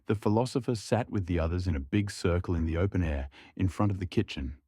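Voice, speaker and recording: Low-voiced man narrating a story. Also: quick pace, warm tone, breathy edge, no echo, studio quality.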